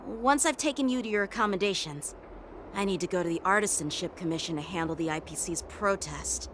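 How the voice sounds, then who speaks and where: A polite, earnest young officer. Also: male voice, high-pitched, fairly quick, a bit bright, a little hiss or hum. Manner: courteous, formal, self-critical. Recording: game dialogue over music. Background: game music.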